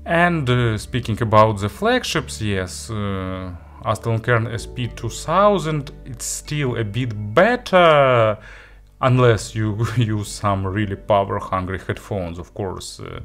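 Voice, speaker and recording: Low-pitched man, non-native English, reviewing gear. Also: animated, slow, a bit bright, faint music behind. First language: not English. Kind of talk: unscripted product review talk.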